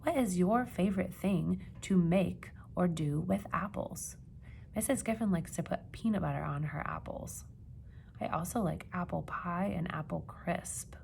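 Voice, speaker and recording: A woman reading a children's picture book aloud like a teacher, with expressive emphasis and questions put to young listeners. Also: lowish voice, vocal fry, slight background noise. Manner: expressive, dramatic gasps and emphasis, pausing to ask questions.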